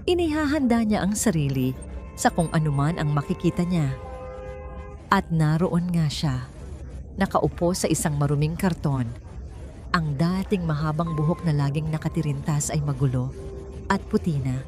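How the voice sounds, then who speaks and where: A woman's voice: low-pitched, expressive, normal speed, pauses often, darkish tone, soft background music. A woman reading a scripted dramatic story as its narrator.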